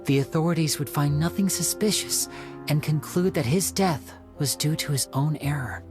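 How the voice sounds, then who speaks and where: An actor voicing a young male stage magician in a video game, smooth, theatrical and explanatory. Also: a little high, moderate pace, lightly acted. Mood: deducing. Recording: game audio with soft music.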